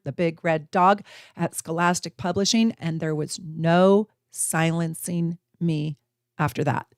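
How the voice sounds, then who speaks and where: A woman's voice: fairly low pitch, a little mellow, no room sound, studio quality. Chatty, enthusiastic woman astrology teacher. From United States. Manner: enthusiastic, chatty, repeats phrases for emphasis. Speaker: astrology teacher and channel host. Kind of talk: casual astrology lesson to camera.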